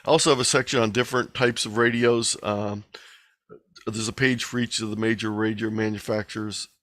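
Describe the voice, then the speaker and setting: A man's voice: lowish voice, steady tone, fairly quick, a bit bright, no echo. A man speaking as a guest presenter in an online meeting, explaining things conversationally and taking questions. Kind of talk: informal presentation and question-and-answer.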